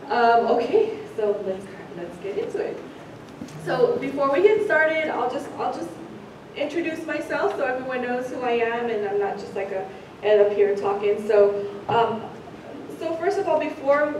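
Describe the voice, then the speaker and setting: An American woman giving an informal welcome talk to new students, chatty and halting as she presents. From San Francisco Bay Area, United States; born in the Philippines. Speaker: orientation presenter, university associate director. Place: echoey indoor room at a student orientation. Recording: echoey room, distant mic, rumbling noise.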